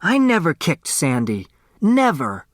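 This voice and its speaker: A man's voice: a little high, wildly swooping pitch, unhurried, no echo, clean studio sound, voice pushed up. A man voicing a defiant, grieving young girl in a storybook, angry and protesting. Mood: indignant shouting.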